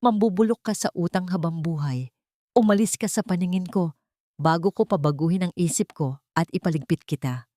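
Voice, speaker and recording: A woman voicing a cold, powerful tycoon husband who makes calm, commanding threats in an audio drama. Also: on the low side, fairly quick, dry recording, clean studio sound, lightly acted.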